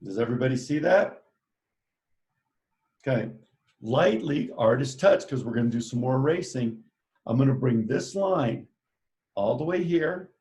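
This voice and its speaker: A man's voice: mid-range voice, expressive, a little slow, long pauses, a little mellow. A man teaching a live online drawing lesson, giving playful step-by-step instructions in an upbeat way. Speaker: art teacher.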